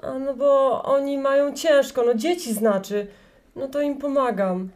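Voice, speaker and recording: A woman's voice: sits high, steady tone, measured pace, darkish tone. An actress playing a timid, self-sacrificing grandmother in her forties in an audio play, hesitant and apologetic. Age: middle-aged.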